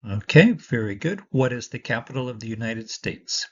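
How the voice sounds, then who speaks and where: A man's voice: medium pitch, animated, measured pace, husky, muffled recording. A man teaching a lesson for the citizenship test, dictating patiently and switching between Spanish and English.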